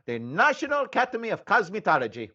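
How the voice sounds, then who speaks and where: A man's voice: high voice, wildly swooping pitch, fast, bright, forceful tone, muffled recording, full character voice. An actor playing a cheeky, sarcastic male hairstylist questioned by detectives in a comedic radio drama. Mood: sassy. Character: sassy male hairstylist suspect.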